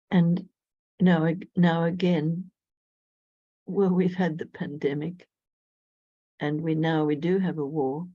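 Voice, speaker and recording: Slow, flat-voiced woman astrologer. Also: low voice, no echo. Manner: thoughtful, measured, with frequent "you know". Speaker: astrologer guest. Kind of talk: reflective conversational interview. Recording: dull lo-fi audio.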